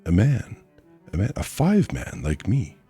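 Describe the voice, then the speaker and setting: A man's voice: lowish voice, huge pitch swings, a little slow, mellow, slightly rough, soft background music, voice pushed up, heavily acted. A man voicing an eager male ape man who gabbles and repeats himself in a storybook reading. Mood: excited. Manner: gabbling, repetitive.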